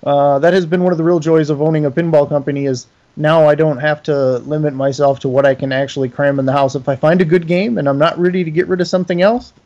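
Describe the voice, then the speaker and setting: A man's voice: fairly high pitch, steady tone, fast talker, few pauses, a little mellow, dull audio. A middle-aged man giving a casual interview as a guest, talking in a folksy, self-deprecating and enthusiastic way. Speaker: interview guest, pinball company owner.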